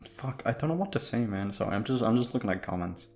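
Deep, gravelly, sleepy young-sounding man's ramble. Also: animated, quick pace, darkish tone, faint music behind. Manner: offhand, hesitant, sweary. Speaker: audio creator talking to listeners. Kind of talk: casual rambling chat to listeners.

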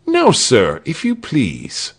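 Storybook executioner: a man as a polite old gentleman, high, fussy, kindly and animated. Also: takes it slowly, full character voice. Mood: politely insistent. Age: older. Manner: fussy, mild, courteous.

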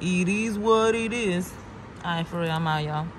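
A young woman from Guinea-Bissau chatting playfully to her viewers on a travel vlog, her voice a bit hoarse. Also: on the low side, normal speed, noisy background. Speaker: travel vlogger. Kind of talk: casual vlog chat to camera. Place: hotel room and lobby at night. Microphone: handheld phone camera.

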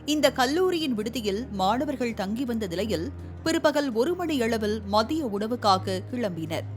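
A woman narrating a scripted news report in a formal, measured way. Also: a little high, expressive. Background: music bed.

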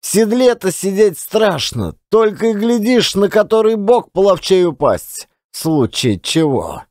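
Guy acting as folksy russian working man telling his tale, audiobook, lively swooping pitch, dry close sound. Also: a little slow, no room sound. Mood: lively storytelling. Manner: folksy, colloquial storytelling. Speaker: audiobook narrator and actor. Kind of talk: one-man dramatized audiobook reading of classic fiction.

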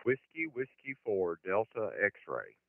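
Low-voiced American man on tinny radio. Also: very animated, slow pace, a little hiss or hum. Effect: heard over shortwave radio. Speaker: amateur radio operator. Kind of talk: ham radio contact exchange. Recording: dry, tinny band-limited radio signal.

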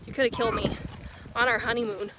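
A woman's voice: fairly high pitch, fairly even intonation, fast. A young woman teasing her family in a casual hiking vlog, recorded outdoors on a phone held at arm's length. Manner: teasing, joking banter. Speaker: vlogger. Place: outdoors on a canyon hiking trail. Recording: outdoor wind and nature noise.

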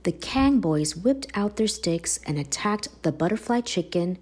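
A woman reading a children's picture book aloud in a measured, animated storytelling manner. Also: lowish voice, breathy edge. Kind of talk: expressive storybook read-aloud. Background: background music.

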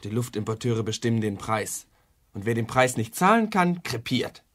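An actor playing a bitter, appalled son in a radio play, speaking with grim emphasis. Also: male voice, medium pitch, very animated, normal speed. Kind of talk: German radio play dialogue.

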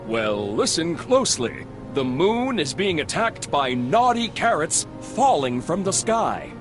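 An actor playing an eager, easily flustered hash brown sidekick in a children's cartoon game, sounding excitable. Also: male voice, on the high side, a little slow. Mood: earnest. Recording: music behind the voice.

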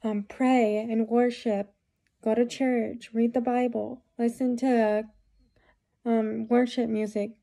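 Hoarse young woman rambling to camera. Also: a little high, dark tone. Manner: rambling advice with many fillers. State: hoarse from a cold. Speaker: vlogger. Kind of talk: casual talk to camera. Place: small room indoors. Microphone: phone camera at close range.

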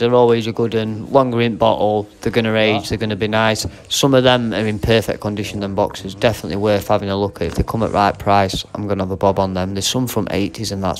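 A man with an English accent and a low voice talks casually to his viewers as a vlog host. He is chatty and informal, addressing them directly as he browses and comments. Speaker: video host and reseller. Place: indoor auction warehouse.

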